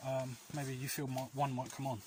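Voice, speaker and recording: Man rambling over clear outdoor noise. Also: mid-range voice, little pitch movement, moderate pace. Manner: rambling, often losing his thread. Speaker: vlog host. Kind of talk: casual advice chat to viewers while walking. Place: outdoors, walking along alleys. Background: outdoor ambient noise.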